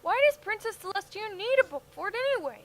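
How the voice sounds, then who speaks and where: A woman's voice: very high-pitched, expressive, fast, slight background noise. A woman voicing a put-upon, complaining young cartoon dragon sidekick in a parody dub. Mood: weary, put-upon.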